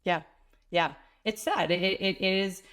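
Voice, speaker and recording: A woman's voice: medium pitch, unhurried, a bit bright. Woman with a stuffy cold, explaining. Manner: practical, explanatory, plain-spoken about the body. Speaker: pelvic health expert guest. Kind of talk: conversational interview explanation.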